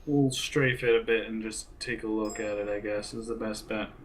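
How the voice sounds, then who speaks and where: A man with a fairly low voice talks casually as a player in a role-play game. He thinks aloud hesitantly, over faint background noise. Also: steady tone, moderate pace, low-quality recording.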